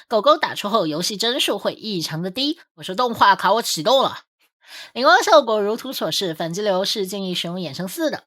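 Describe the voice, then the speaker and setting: Lively woman narrating a game guide. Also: medium pitch. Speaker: game guide video host. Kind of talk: scripted game guide commentary voice-over.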